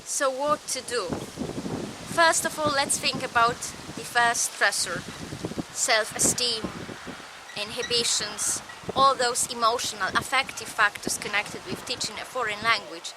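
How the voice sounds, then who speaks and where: A woman with a Polish accent, a native Polish speaker, giving a recorded lecture in slow, measured, short phrases. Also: a little high, noisy background.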